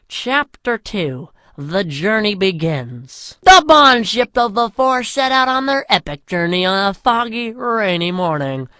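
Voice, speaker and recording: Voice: medium pitch, expressive, measured pace, few pauses, bright, forceful tone, no room sound. A storyteller with a mid-range voice reads a comic fantasy tale as if it were a grand epic. The delivery is mock-solemn, and the sound is close and dry.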